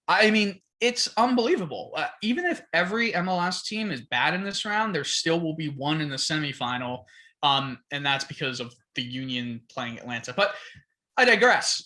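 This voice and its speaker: A man co-hosting a sports podcast, chatting casually with many fillers and laughs. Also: a little high. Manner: halting, lots of fillers and laughs, animated rises. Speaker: podcast co-host.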